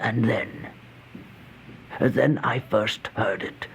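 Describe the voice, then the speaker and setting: An actor playing a starving, feeble, fearful vagrant in an old-time radio horror monologue, halting and stammering, with gasps and whispers. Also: male voice, medium pitch, vocal fry. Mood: anxious, bitter. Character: starving, feeble, once-proud male vagrant turned housebreaker, haunted and fearful. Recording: noisy old radio drama recording.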